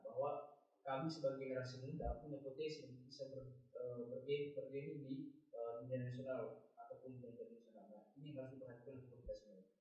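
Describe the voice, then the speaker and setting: A man's voice: mid-range voice, warm tone, muffled recording. A male university student on a discussion panel, talking in an emphatic way with many fillers. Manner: fast, filler-heavy, emphatic. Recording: very echoey studio.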